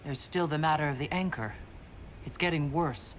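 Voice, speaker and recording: A man's voice: fairly high pitch, moderate pace, slight background noise, lightly acted. An actor playing a defiant, battle-hardened male leader in a video game cutscene, firm and blunt. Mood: accusing, wary. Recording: loud music over dialogue.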